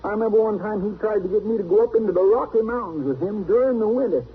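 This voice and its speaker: A man's voice: high-pitched, quick pace, slightly rough. A man playing an anxious, folksy marshal's sidekick with a Southern American drawl in an old radio western. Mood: exasperated. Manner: folksy drawl, fretful, exasperated. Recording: noisy, tinny old radio recording.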